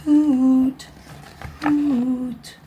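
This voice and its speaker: A woman's voice: sits high, little pitch movement, soft background music, pitched above the performer's own voice. A woman voicing a shy, loving big male owl who calls out in a bedtime story. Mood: timid calling. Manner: calls out softly, drawn-out hoots.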